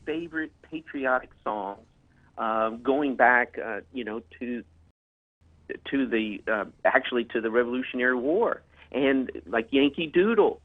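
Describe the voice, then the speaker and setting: A man's voice: medium pitch, animated, measured pace, no room sound. A man who is a guest on a talk show, promoting his products in a hesitant, filler-heavy way. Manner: hesitant, many fillers and restarts. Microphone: phone line. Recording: tinny phone line.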